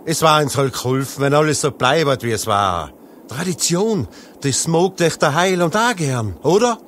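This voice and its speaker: An actor playing a sly, self-interested shepherd with a Tyrolean Austrian accent in a satirical radio play. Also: male voice, a little high, expressive, moderate pace, fairly bright tone, slight background noise. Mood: sly, pleading. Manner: blunt, colloquial, sceptical.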